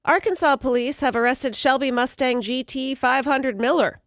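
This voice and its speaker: A woman hosting morning radio, telling offbeat news stories in a brisk, amused way. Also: high voice, no echo.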